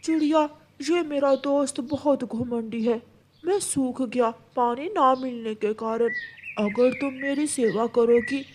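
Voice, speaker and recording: A woman's voice: sits high, slow, noisy background. A woman voicing a dried-up male tree in a cartoon, humble, weary and earnest. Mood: plaintive.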